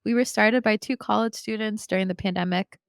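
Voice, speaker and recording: Young woman organizer, lively storyteller, gravelly fry over a clean dry read. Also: medium pitch, fairly quick, no echo. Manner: animated storyteller, acts out quotes. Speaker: community organizer. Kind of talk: casual podcast conversation.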